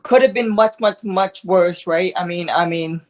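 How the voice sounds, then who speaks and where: A man chatting casually about weather as a guest, halting and often repeating his phrases. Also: sits high.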